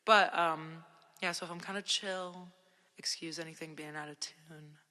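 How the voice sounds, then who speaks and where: Young woman from Jersey, voice worn hoarse after a cold; easygoing, rambling livestream chatter with lots of fillers, a long echo around her. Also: deep voice, fairly even intonation, moderate pace, pushed and bright. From New Jersey. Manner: laid-back, rambling, many "like" and "um" fillers. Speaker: independent musician livestreaming. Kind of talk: casual livestream chat with viewers.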